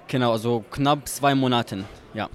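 A man's voice: medium pitch, moderate pace. A man with a mid-range voice chatting loosely, leaning on fillers like 'quasi' and 'also'. He is recorded outdoors in an urban setting. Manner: casual, filler-heavy. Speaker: young filmmaker.

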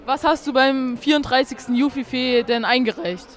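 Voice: high-pitched, fairly even intonation, moderate pace. A host interviewing young filmmakers outdoors at a film festival, in slangy, enthusiastic casual talk. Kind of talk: casual vox-pop interview.

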